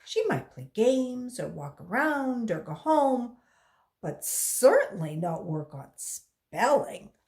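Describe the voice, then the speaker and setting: A stern, suspicious stranger who interrogates a child, cold and unhurried, as a woman reading a storybook puts him on. His questions come slow and clipped, and each word is weighed. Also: a little high, sing-song, darkish tone, pitched above the performer's own voice, heavily acted. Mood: probing.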